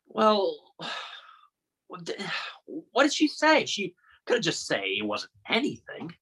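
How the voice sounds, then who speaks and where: Young man as a stammering teen boy, bright, husky and very up-and-down. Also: high-pitched, huge pitch swings, slow pace, full character voice. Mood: worried. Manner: stammering, emotional, voice breaking into outbursts. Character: distraught teenage boy Noah, anxious student. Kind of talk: student audio drama.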